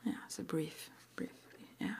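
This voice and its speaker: A woman whispering breathily in an ASMR roleplay, gently and hesitantly asking questions like an interviewer. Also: a little slow. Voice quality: breathy whisper, mostly unvoiced.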